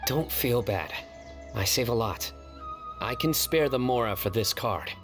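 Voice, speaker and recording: A man playing a stern, earnest young officer in an anime video game, speaking in a measured, grandly formal way. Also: medium pitch, expressive, music in the background, close to an everyday voice. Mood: resolute.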